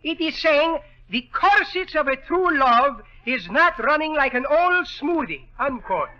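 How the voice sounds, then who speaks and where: An actor playing a jolly Greek immigrant with an accent who chatters in mangled English, in 1930s radio comedy style. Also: male voice, very high-pitched, animated, measured pace, pushed and bright, slight background noise, full character voice. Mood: jolly, chatty. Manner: garrulous, fractured English with malapropisms. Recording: dull vintage 1930s radio broadcast.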